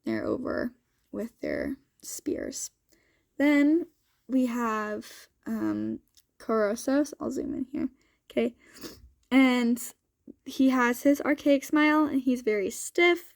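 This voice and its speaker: A young woman talks through a casual student vlog in a fairly high voice with a noticeably creaky edge. She sounds sniffly from a cold and speaks informally, thinking aloud as she goes. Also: very animated, slow pace, no echo, studio quality. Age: young adult. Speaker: student vlogger. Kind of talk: casual chatty vlog walkthrough.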